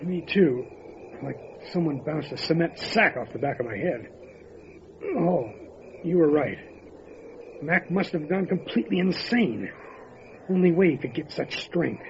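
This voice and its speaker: A steady male sheriff, acted by a man in old-time radio horror. His voice sits slightly high and speaks plainly and gravely. The sound is muffled, with faint noise. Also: unhurried, long pauses. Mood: dazed.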